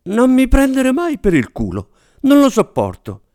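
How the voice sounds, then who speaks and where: A man voicing a vain, hysterical female TV actress who talks in a shrill, dramatic, panicky way. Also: huge pitch swings, measured pace, a little mellow, no room sound, clean studio sound, heavily acted. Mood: overwrought.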